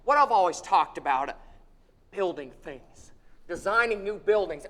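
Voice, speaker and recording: A man's voice: high-pitched, animated, moderate pace, a bit bright. A young man playing a restless, eager young man who stammers through nervous restarts, in a live stage radio play. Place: theater stage, live audience. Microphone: standing stage mic. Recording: long hall reverb of a theater.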